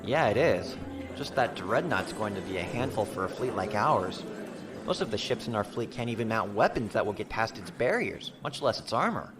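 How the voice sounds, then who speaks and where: Man as a lively, plain-spoken starship captain. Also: on the low side, moderate pace, music in the background, close to an everyday voice. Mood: matter-of-fact. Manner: informal, explanatory. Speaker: ship captain and former fleet officer. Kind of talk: science fiction audio drama.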